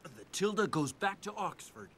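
An actor voicing a decisive, earnest young prince in a cartoon, commanding and articulate. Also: male voice, high voice, animated, normal speed, a bit bright, breathy edge, exaggerated voice. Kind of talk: cartoon hero acting.

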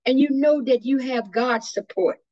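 A woman leading a guided imagery meditation in a slow, soothing, devotional manner over a video call. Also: high voice, darkish tone, no room sound. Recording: muffled, dry video call audio.

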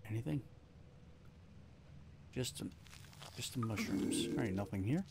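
A man's voice: mid-range voice, lively intonation, a little slow, vocal fry, very noisy. A man giving casual live gameplay commentary, thinking aloud in short, slangy remarks. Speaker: video game streamer. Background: game music.

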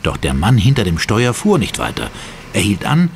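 A man's voice: on the low side, wildly swooping pitch, normal speed, vocal fry, a little hiss or hum. A man narrating an audio drama in a dramatic, scripted style.

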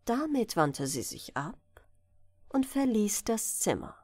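Slow, expressive woman reading fairytales. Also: medium pitch, no echo, faint music behind. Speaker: audiobook narrator.

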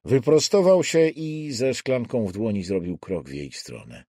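Husky man narrating a thriller. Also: medium pitch, animated, measured pace, dark tone, no room sound, studio quality. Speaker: audiobook narrator. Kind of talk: dramatic scripted narration of a thriller. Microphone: close studio microphone.

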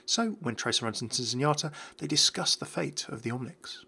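A man's voice: mid-range voice, expressive, fairly quick, creaky, bright, forceful tone, husky. A man hosting a gaming video, reading scripted lore narration.

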